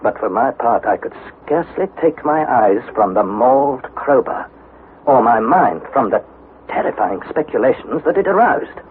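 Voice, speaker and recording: A man's voice: mid-range voice, lively intonation, moderate pace, natural voice. An actor playing a fearful young male explorer, narrating his expedition journal dramatically in a 1960s radio drama. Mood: dread. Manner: dramatic, suspenseful, articulate delivery. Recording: tinny, hissy vintage 1960s radio recording.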